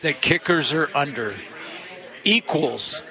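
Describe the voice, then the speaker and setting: A man's voice: on the high side, animated, takes it slowly, vocal fry, a crowd in the background. A man co-hosting a sports talk show, a former player breaking down the mental side of kicking with confidence and easy laughs. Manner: laughs often, confident, analytical.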